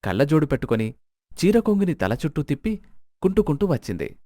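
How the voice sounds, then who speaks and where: Higher-pitched man narrating, dry studio sound. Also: big pitch swings, a little fast, mellow, no room sound. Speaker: story narrator. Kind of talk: scripted moral-story narration.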